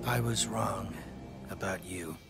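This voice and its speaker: A gritty agent hero in a video game. His low voice has a gravelly creak and he speaks tersely and quietly, with loud music over him. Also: slow pace, heavily acted. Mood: subdued. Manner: terse, subdued. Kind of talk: video game cutscene acting.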